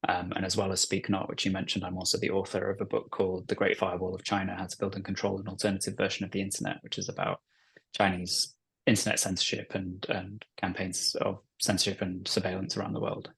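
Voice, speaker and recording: A man's voice: low-pitched, completely flat delivery, fast talker, vocal fry, fairly bright tone, breathy edge. A man from North Wales whose first language is Welsh, an author and journalist answering interview questions with many fillers and restarts. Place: family home, remote call.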